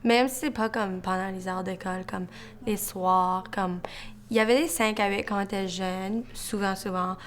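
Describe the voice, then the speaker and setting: A woman's voice: medium pitch, steady tone, normal speed. A young woman with a Canadian French accent, answering casually and colloquially in an interview. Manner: colloquial, peppered with "comme".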